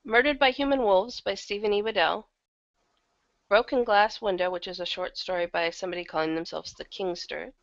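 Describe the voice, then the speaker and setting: A woman talks casually as a guest in an interview about her creative work. Her voice sits at a medium pitch and sounds dry and close, with a slightly dull, lo-fi edge. She is chatty and conversational, loosely organising her thoughts as she speaks. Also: normal speed, no room sound. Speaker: interview guest, audio drama producer and audiobook narrator.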